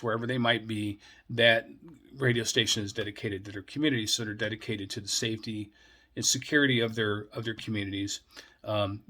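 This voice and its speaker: An American man presenting as a radio enthusiast in an unscripted monologue, explaining things in a halting, deliberate and rambling way. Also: on the low side, a bit bright.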